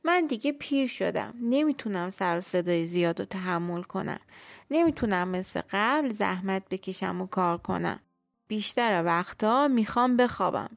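A woman's voice: high voice, no room sound, studio quality, pitched above the performer's own voice. A woman voicing a weary, grumpy old tree that speaks in a trembling, pleading voice in a children's bedtime story.

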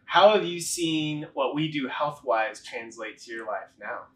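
A man's voice: medium pitch, lively intonation, measured pace. A man conducting a casual, supportive interview, encouraging his guest with frequent short affirmations. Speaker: interviewer from a health practice.

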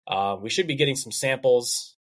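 A man's voice: medium pitch, normal speed, fairly bright tone. A man hosting a casual sports podcast, a little congested from allergies, reading sponsor spots in an upbeat host patter.

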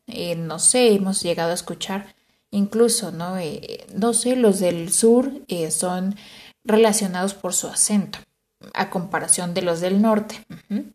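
A woman explaining a topic in a lecture, measured and steady, often pausing on "eh" and adding a soft "ujú". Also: medium pitch, reverberant. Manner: measured, with frequent "eh" fillers and "ujú" affirmations. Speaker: teacher explaining language learning.

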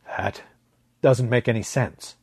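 A man's voice: medium pitch, slow pace, a little mellow, husky, dry recording. A man voicing a dazed, desperate male space rescue pilot in a story, agitated and disbelieving. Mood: confused, insistent.

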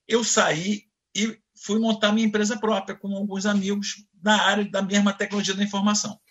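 Animated high-voiced man telling stories. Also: a little fast, lo-fi sound. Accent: Carioca Portuguese. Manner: animated, acts out voices in anecdotes. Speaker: guest. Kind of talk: storytelling interview talk.

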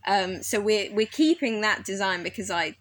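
A woman's voice: medium pitch, expressive, normal speed. A woman horticulturist in a podcast conversation, enthusiastic and openly nerdy as she explains her garden project. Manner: enthusiastic, self-described nerd.

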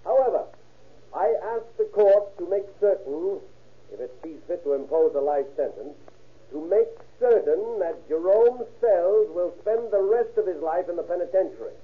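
An earnest male prosecutor pleads formally to the court with emphatic delivery. His voice sits a little high, and the old broadcast sounds narrow and tinny. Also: expressive, normal speed. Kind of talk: old-time radio courtroom drama acting. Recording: tinny, band-limited old radio recording.

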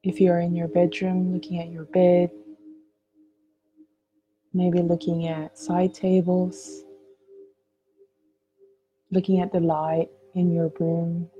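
A woman guiding a meditation in a gentle, soothing, unhurried voice, leaving long pauses between phrases. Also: fairly low pitch, flat delivery, soft background music, poor audio. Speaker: meditation guide. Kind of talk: guided meditation narration.

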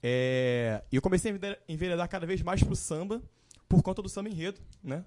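A man in his early twenties with a Carioca Portuguese accent, telling his story as a guest in a casual live-stream chat, relaxed and full of fillers. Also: sits high, a little fast. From Rio de Janeiro, Brazil. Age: 20s. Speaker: guest musician.

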